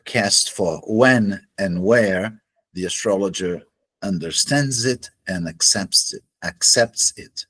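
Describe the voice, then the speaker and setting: Slow, husky-voiced man. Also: fairly bright tone. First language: Portuguese. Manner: measured, deliberate, pauses between phrases. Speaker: astrology teacher. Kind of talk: solo explanatory lecture. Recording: low-quality home recording.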